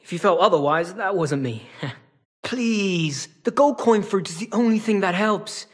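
A sly feline rogue from a video game. The voice sits fairly high for a male and has a husky rasp. He talks in a wry, casual, streetwise drawl, like a weary hustler who is always bargaining. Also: lively intonation, unhurried, exaggerated voice. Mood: sly, amused. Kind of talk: video game character acting.

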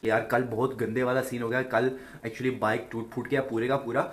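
A young man talks casually into a camera fixed to his motorbike. Street and engine noise run loudly behind his voice out in the open city. His pitch sits in an ordinary middle range. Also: flat delivery, a little fast. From Pakistan. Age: young adult. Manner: chatty, talks to viewers while riding. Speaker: vlogger. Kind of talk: casual motovlog chat. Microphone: action camera on the bike. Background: traffic noise.

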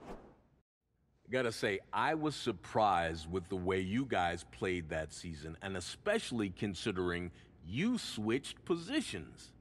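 An actor playing a measured, impressed man interviewing a football star in a conversational, unhurried way. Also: low voice, very animated, heavily acted. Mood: impressed, reflective. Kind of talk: conversational video game cutscene dialogue.

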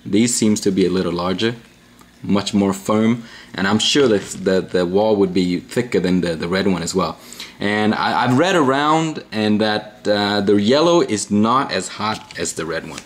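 A man in his thirties hosting a casual pepper-review vlog, talking easily with lots of ums, uhs and restarts, filmed at a table indoors. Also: on the low side. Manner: easygoing, rambling with many fillers and restarts. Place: small indoor room at a table.

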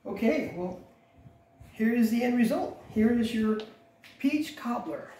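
Middle-aged man, home cooking host, relaxed step-by-step instruction, roomy kitchen sound, distant camera mic. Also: extremely high pitch, measured pace, a little hiss or hum. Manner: relaxed, instructive, self-correcting, repeats "go ahead and". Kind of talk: casual step-by-step cooking demonstration. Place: large bright home kitchen, indoors. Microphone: camera mic from across the kitchen.

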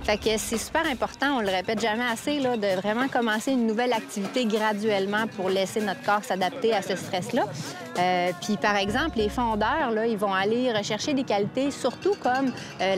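Québécois woman coaching, clear and practical. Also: mid-range voice, fairly quick, music in the background. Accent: Québécois French. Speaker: cross-country ski coach. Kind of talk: instructional explanation. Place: snowy park outdoors.

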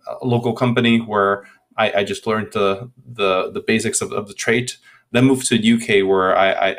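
A man in his thirties from Hungary with a Hungarian accent, answering interview questions over a video call, often repeating words as he restarts sentences. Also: mid-range voice. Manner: frequent word repetitions and restarts. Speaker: guest speaker. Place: home room. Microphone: laptop webcam video call.

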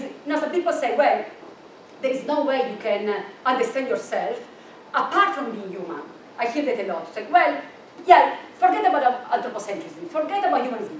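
Middle-aged woman keynote speaker, high lively voice, far-off echoey lecture room. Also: a little hiss or hum. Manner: animated, gesturing, thinking aloud with restarts. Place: large university lecture room. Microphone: far camera in the audience.

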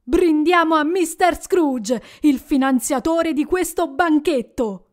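A woman voicing a meek, gentle poor father in an audiobook reading. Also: fairly high pitch, steady tone, slow pace. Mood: toasting. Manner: mild, gentle.

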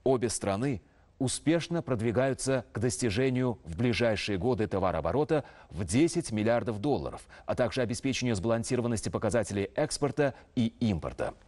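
A man's voice: medium pitch, lively intonation, a little mellow. Man reading formal television news. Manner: measured, official delivery. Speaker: news anchor.

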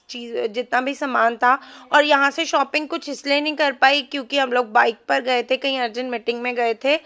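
High-pitched chatty woman. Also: a bit bright. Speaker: shopping vlogger. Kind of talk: casual vlog voice-over with English words mixed in.

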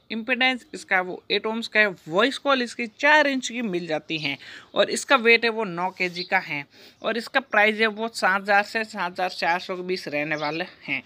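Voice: medium pitch, pushed and bright. A narrator giving a scripted explainer in a repetitive, formulaic listing manner. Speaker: product review narrator.

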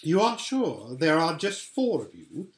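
A man's voice: a little high, wildly swooping pitch, measured pace. A man voicing a scheming, regal witch queen from a storybook, sweet-talking and imperious. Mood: probing.

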